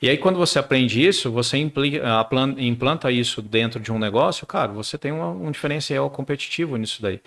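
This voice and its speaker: A man's voice: medium pitch, a little fast, gravelly, hardly any pauses, a bit bright. A middle-aged man, a guest on a business podcast, explaining in casual chat and often repeating words while he searches for the next one.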